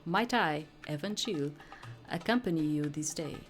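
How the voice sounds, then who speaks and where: Woman reading as slow-talking flirtatious male womanizer. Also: sits low, soft background music. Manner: florid, leering flattery. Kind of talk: visual novel dialogue read aloud.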